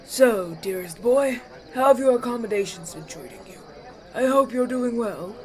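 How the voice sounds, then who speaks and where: Mann spielt gütigen Elfenältesten mit hoher Stimme. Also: male voice, very high-pitched. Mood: welcoming. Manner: ceremonial, measured, kindly. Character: gracious, benevolent elven elder presiding over a feast. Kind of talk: fantasy audio roleplay acting.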